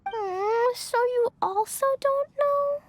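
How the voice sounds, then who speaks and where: A woman's voice: extremely high pitch, slow, a little hiss or hum. A woman voicing a sweet, trusting little girl in a video game, childlike and earnest. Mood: crestfallen. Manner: guileless, earnest.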